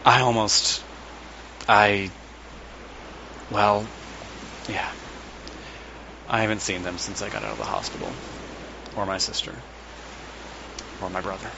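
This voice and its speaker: A man playing a haunted, compassionate psychiatrist in an audio drama, confiding in an earnest, halting way. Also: lowish voice, expressive, creaky, dull audio, noisy background, heavily acted. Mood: pained, halting. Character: world-weary compassionate male psychiatrist, haunted by his past.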